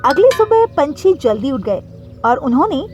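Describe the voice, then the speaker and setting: A woman's voice: high voice, big pitch swings, normal speed, a little mellow, dull audio, faint music behind. A woman narrating a scripted cartoon story as the storyteller.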